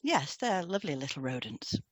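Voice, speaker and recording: A British woman co-hosting an educational podcast, reading scripted explanations in a measured way. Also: deep voice, big pitch swings. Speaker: podcast co-host and hypnotherapist.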